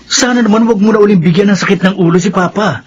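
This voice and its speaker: A man's voice: high voice, quick pace, lo-fi sound, a little hiss or hum, lightly acted. A man playing a stern, protective adult eldest brother in a Filipino radio drama, with an admonishing manner. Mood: disapproving.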